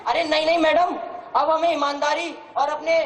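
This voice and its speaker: A woman's voice: sits high, little pitch movement, moderate pace, lightly acted. A woman acting a self-important corrupt judge in an amateur stage play. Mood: wheedling. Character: haughty corrupt judge who turns wheedling. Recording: echoey stage recording with audience noise.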